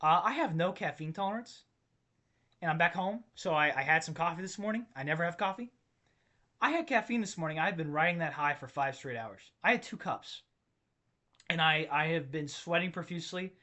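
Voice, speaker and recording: A man with a slightly high, bright, forceful voice rambles through a comedy podcast, tripping over his own sentences as he gets worked up. His voice sounds tired and strained from overuse. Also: fairly quick. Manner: rambling, self-interrupting, excitable. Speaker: podcast host. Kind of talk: solo comedic rant podcast.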